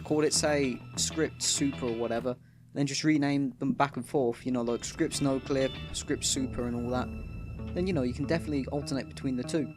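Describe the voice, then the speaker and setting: A man's voice: mid-range voice, steady tone, fairly quick, over music. A man hosting a gaming video with casual, explanatory commentary.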